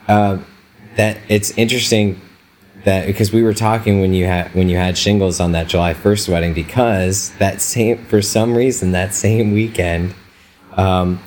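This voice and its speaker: A man co-hosting a podcast, a wedding photographer talking in a relaxed, rambling way with many fillers, his voice not fully recovered from laryngitis. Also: fairly low pitch, a little slow, slight background noise. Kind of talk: casual chat.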